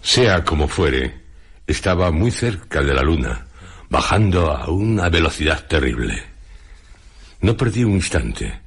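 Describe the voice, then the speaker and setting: An actor playing an indignant adventurer telling of his perilous fall in a radio drama, deliberate and literary. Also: a bass voice, breathy edge, heavily acted. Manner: deliberate, literary, theatrical storytelling. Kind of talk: dramatized first-person diary narration, radio drama.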